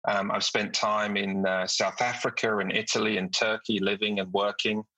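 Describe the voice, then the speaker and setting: A middle-aged British man from the UK, a company executive speaking as a podcast guest, measured with frequent hesitations. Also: fairly low pitch, flat delivery, pushed and bright, dry recording, rough recording. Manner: measured, with frequent ums and uhs. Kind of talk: podcast interview.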